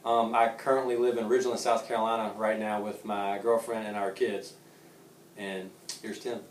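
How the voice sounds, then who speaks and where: A man's voice: lowish voice, flat delivery, moderate pace, distant mic, slight background noise. A man in his thirties from Savannah answers questions casually, joking and laughing as he goes and restarting his sentences often. He sits back from the mic in a roomy, echoing space. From Savannah, Georgia. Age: 30s. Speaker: golfer.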